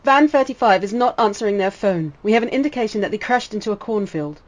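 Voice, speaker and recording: A woman's voice: mid-range voice, expressive, fast, a little hiss or hum, natural voice. A woman playing a deferential underling to a crime boss in a horror comic dub. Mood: reporting.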